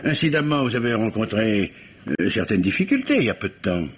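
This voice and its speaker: Wily, stammering police commissioner, lively intonation. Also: male voice, lowish voice, a little fast, slightly rough. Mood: probing, insinuating. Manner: hesitant stammering courtesy, probing. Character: dogged, wily police commissioner, polite but insinuating. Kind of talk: 1950s French radio mystery acting. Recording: dull vintage radio recording, faint hiss.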